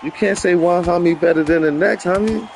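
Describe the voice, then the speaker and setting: A man vlogging casually on a dog walk outdoors, animated and slangy, often breaking into laughter. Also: sits high, fairly quick, creaky, soft background music. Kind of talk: casual vlog chat.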